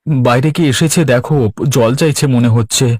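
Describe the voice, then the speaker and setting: A man playing a pious, long-suffering fisherman in a cartoon, measured and resigned. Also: mid-range voice, darkish tone, no room sound, studio quality, natural voice. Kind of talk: Bengali cartoon dub.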